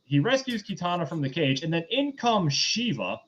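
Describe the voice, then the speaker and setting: A man's voice: high voice, big pitch swings, moderate pace, a bit bright, lo-fi sound. A man hosting a casual film review, recapping in a loose, rambling conversational way.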